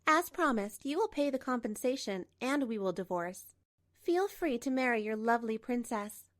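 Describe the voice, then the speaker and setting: Woman acting as betrayed wife, high voice, composed, pointed, scripted manga narration, dry close sound. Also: animated, moderate pace, no room sound, lightly acted. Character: betrayed wife, composed, coolly resolute, narrating her story.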